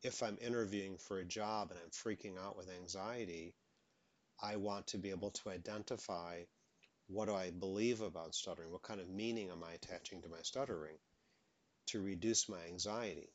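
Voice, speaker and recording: Low-voiced man who stutters, coaching. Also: fairly even intonation, fairly quick. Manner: stutters openly, repeats words. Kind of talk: motivational advice talk.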